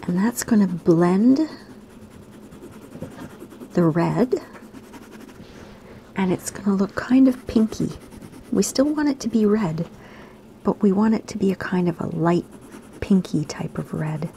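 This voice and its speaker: A woman, slightly hoarse today, gently talking kids through a drawing lesson in a soft, half-whispered voice. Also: medium pitch, lively intonation, slow, long pauses, a little hiss or hum. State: raspy, slightly sore throat. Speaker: art teacher for kids. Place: home room by a window.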